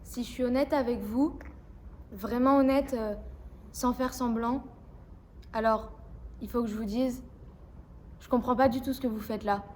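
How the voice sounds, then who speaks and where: A woman's voice: fairly high pitch, steady tone, measured pace, pauses often, a little mellow. A woman delivering a theatrical monologue as a drama student. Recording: distant mic, background noise.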